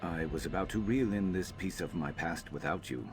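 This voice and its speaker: A gruff lizardfolk mercenary, played by a man, speaks from the very bottom of the range. He is guarded and world-weary, a companion in a fantasy video game, with light music underneath. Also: low-pitched, normal speed, close to an everyday voice. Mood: wry, wary. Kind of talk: scripted video game dialogue.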